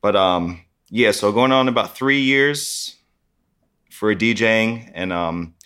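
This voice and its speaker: A low-voiced man from New York chats easily as a podcast guest. He sounds upbeat and enthusiastic about his events and dance crew. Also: animated, slow pace, fairly bright tone, smooth. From Schenectady, New York. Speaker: DJ and breakdancer guest. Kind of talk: casual interview chat.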